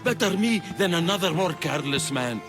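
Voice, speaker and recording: A man's voice: on the high side, expressive, a little fast, lightly acted. An actor playing a defensive, ashamed old man in a game cutscene. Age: older. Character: guilty old male client, defensive and ashamed. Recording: game cutscene with loud music. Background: music.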